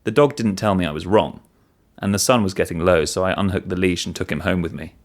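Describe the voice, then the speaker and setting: A man reading a first-person short story as narrator, in an expressive storytelling manner. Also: deep voice, quick pace.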